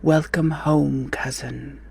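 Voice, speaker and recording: A man voicing a sinister, ominous gothic announcer in a theatrical podcast promo, with a breathy, raspy whisper. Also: fairly high pitch, fairly even intonation, slow, a little mellow, full character voice. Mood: ominous welcome.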